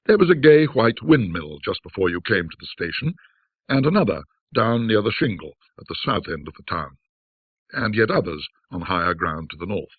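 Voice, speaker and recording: Male narrator for literary ghost tales: husky, expressive intonation, measured and reflective. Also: fairly low pitch, dry recording, narrow, tinny audio. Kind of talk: scripted ghost story narration in classic British storytelling manner.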